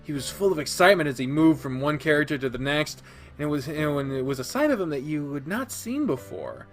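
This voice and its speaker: A man's voice: fairly high pitch, lively intonation, a little fast, soft background music. A man reading a visual novel aloud, narrating in plain second person. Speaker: let's play reader.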